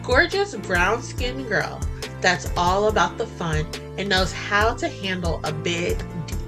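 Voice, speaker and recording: A woman's voice: mid-range voice, lively intonation, takes it slowly, music in the background. A woman voicing a bold, playful young college woman in a story, teasing and exclaiming. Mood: playful, excited.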